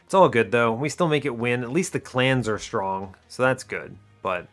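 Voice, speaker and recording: A man's voice: mid-range voice, moderate pace, faint music behind. A man giving casual gameplay commentary, chatty and informal, thinking aloud as he explains his strategy. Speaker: host of a gaming video series.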